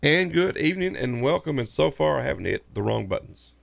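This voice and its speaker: A man co-hosting a local sports radio show, hoarse from a sinus infection, rambling and repeating himself. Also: mid-range voice, very animated, fairly quick, faint music behind. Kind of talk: casual sports talk. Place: radio studio. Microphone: studio microphone.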